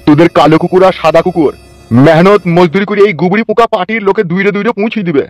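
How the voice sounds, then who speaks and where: A man's voice: sits high, fairly even intonation, very fast, no echo, soft background music, lightly acted. A man playing a scheming, lovestruck crooked uncle in comic cartoon dialogue, melodramatic, coarse and boastful.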